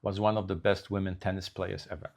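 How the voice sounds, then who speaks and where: An older man with a very low, deep voice. He talks in a halting, unhurried way, full of restarts and fillers, in a relaxed news chat. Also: vocal fry. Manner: slow, halting delivery with many restarts. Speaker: co-host. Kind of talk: casual chat.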